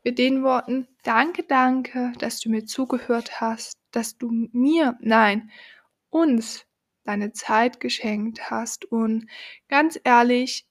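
Slow-speaking woman with a cold. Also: fairly even intonation. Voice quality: lower than usual from a cold. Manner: slow, thoughtful, addresses the listener directly. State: has a cold. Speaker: podcast host. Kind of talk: reflective podcast monologue.

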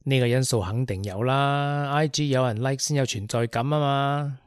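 A man's voice: medium pitch, normal speed, clear, resonant tone, no echo, clean studio sound. A man with a Hong Kong Cantonese accent hosting a radio chat, joking and laughing easily. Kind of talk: casual chat.

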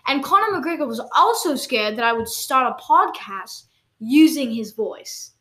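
A woman's voice: sits high, animated, unhurried, pushed and bright. A woman co-hosting an improvised comedy podcast, playful and giggly as she spins absurd tall tales. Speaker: podcast co-host.

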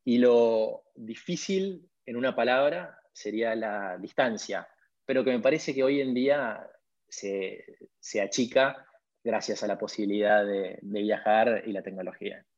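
A man's voice: fairly low pitch, unhurried. A man with an Argentine Spanish accent answering interview questions in a conversational way as a podcast guest. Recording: dull lo-fi audio.

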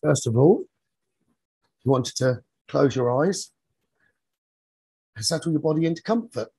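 A man leading a guided sleep meditation as a teacher, soothing and husky, speaking in short phrases with long pauses. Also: on the high side, animated, warm tone, no echo.

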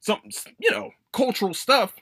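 A man's voice: very high-pitched, big pitch swings, moderate pace, pushed and bright, breathy edge, no room sound, clean studio sound. A young adult man hosting a casual solo podcast, rambling, swearing and correcting himself as he goes. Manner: rambling, profane, self-correcting. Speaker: podcast host.

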